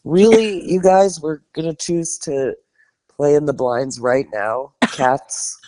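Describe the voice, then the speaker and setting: A man's voice: on the high side, slow pace, background noise. A man co-hosting a podcast, chatting casually and animatedly, laughing often. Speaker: podcast co-host. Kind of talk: casual chat.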